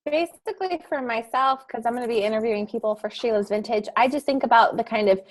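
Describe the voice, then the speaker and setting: A woman's voice: fairly high pitch, fast. A woman podcaster rambling informally on a panel with many fillers. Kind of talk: casual panel discussion.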